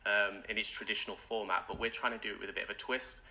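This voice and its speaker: A man with a low voice and a very bright, forceful edge explains his event in a casual interview, rambling along with lots of fillers and restarts. His first language was British Sign Language, and he speaks English as a guest on the show. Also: flat delivery, quick pace, poor audio. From England.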